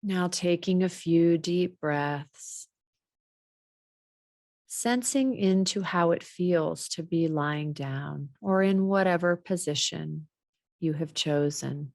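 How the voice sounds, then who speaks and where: A woman guiding a body scan meditation in a measured, gently instructional way. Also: fairly low pitch, vocal fry, no echo, studio quality. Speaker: meditation teacher.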